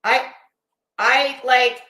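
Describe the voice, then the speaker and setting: A woman's voice: sits high, steady tone, drawn-out words, sharp tone. A blunt, sweary woman ranting casually to viewers as host of a livestream, emphatic and often restarting her phrases. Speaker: host of a live commentary channel. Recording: echoey, roomy sound.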